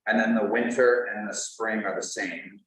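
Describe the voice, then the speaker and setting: Low-voiced man in a very echoey room. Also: steady tone, normal speed, fairly bright tone. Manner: methodical, reads out percentages. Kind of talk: questioning at a public meeting. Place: large meeting room. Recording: very echoey, distant, faint room noise.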